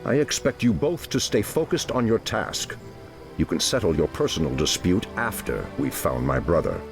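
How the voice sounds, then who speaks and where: An actor playing a solemn, noble night elf druid leader in a fantasy video game, grave, formal and full of authority. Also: male voice, fairly low pitch, big pitch swings, moderate pace, vocal fry, over music. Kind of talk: dramatic video game cutscene acting.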